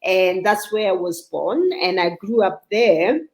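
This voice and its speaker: A woman's voice: medium pitch, unhurried, echoey room. A woman in her sixties with a South African accent, speaking conversationally as a guest in an online interview. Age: 60s. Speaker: guest, arts advisor.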